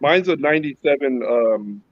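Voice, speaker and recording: A man's voice: medium pitch, expressive, normal speed, crowd noise. A man in his fifties chatting easygoing on a livestream call from his phone. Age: 50s. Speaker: livestream guest. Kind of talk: casual chat. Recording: dry, dull phone audio.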